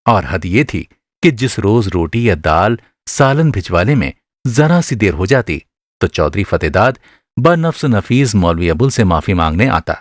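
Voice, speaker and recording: Low, expressive male storyteller. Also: no room sound, clean studio sound. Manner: expressive, measured storytelling in literary phrasing. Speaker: narrator. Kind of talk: dramatised literary short-story narration.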